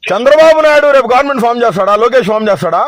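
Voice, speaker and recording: A man's voice: very high voice, animated, racing. A man arguing heatedly over the phone, threatening and insistent, repeating himself. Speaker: political party loyalist on a phone call. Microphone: phone call.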